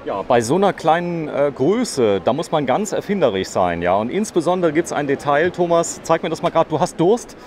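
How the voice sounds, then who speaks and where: A man's voice: on the high side, very animated, moderate pace, few pauses, darkish tone. A man doing a casual, chatty on-site interview as a reporter. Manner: chatty, adds short tag questions. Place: caravan at an indoor trade fair. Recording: clear background noise of busy trade fair.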